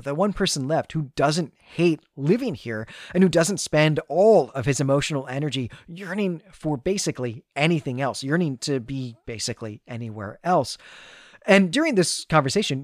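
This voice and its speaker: Male podcast host, slightly high register, close dry sound. Explanatory recap delivery, restating points as he goes. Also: dry recording. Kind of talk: conversational literary recap and analysis.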